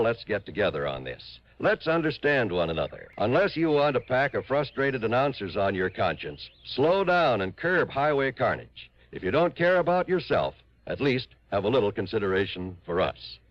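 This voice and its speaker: Male vintage radio announcer, low animated voice, droll, theatrical, dry muffled old recording. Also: moderate pace, few pauses, no echo. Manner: theatrical, droll, mock-pleading.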